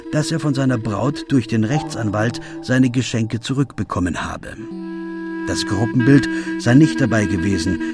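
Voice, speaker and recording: An actor playing a detective's loyal, puzzled companion, narrating the case in a measured, literary radio play style. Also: male voice, medium pitch, lightly acted.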